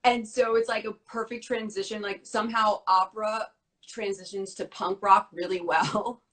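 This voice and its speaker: A young woman chatting as an interview guest, her voice strained and a little worn because she is losing it. She talks fast and bubbly, with plenty of filler words. Her pitch sits in the middle. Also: fairly even intonation, a bit bright, poor audio. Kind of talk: casual interview chat.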